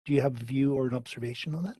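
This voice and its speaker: An older man with a Canadian accent puts questions to witnesses at a parliamentary hearing. He speaks at a middling pitch, probing and deliberate, and leans on the key words. His voice is close and dry, with no room sound. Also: dark tone, husky, dry recording. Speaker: committee member questioning witnesses. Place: home study, indoors. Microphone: headset on video call.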